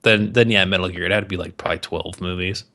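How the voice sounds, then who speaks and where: A man's voice: sits low, steady tone, quick pace, bright, forceful tone. A man co-hosting a podcast in casual banter, joking and ranting with plenty of swearing. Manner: profane, jokey ranting. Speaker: podcast co-host.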